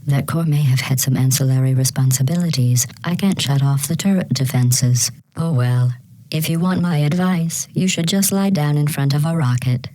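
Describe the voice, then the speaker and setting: A woman playing a menacing, sarcastic female artificial intelligence in a video game, deadpan and condescending, with synthetic processing on the voice. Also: sits low, moderate pace, no room sound, rough recording. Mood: mock-cheerful menace. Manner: deadpan, passive-aggressive, condescending. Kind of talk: scripted video game voice acting.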